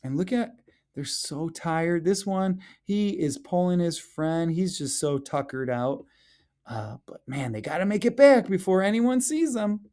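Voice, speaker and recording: A man with a mellow voice reads a picture book to young children. His pitch swings widely as he plays up questions and exclamations. He takes his time, speaking slowly and talking directly to his young listeners like a playful school educator. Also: expressive. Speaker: elementary school educator reading aloud.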